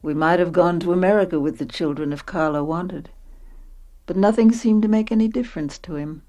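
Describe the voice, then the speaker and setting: Actress as sorrowful female narrator, low voice, reflective, resigned, first-person radio drama storytelling. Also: a little fast, dark tone, close to an everyday voice. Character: older Camilla, sorrowful woman recounting her life.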